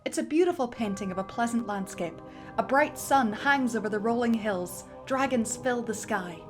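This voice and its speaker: A woman's voice: fairly high pitch, moderate pace. A woman narrating script directions in a dramatic reading, building up to the action beats, with music underneath.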